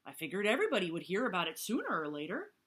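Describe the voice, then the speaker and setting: A woman's voice: fairly low pitch, huge pitch swings, rapid-fire, fairly bright tone. A woman voicing a cheerful, easygoing zebra who hosts a party and invites everyone. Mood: breezy, friendly. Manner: friendly, breezy, chuckling.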